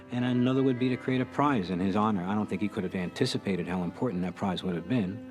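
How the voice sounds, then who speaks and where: A man with a low voice lays out history in an explanatory way, as in a documentary interview. Quiet guitar music sits behind him.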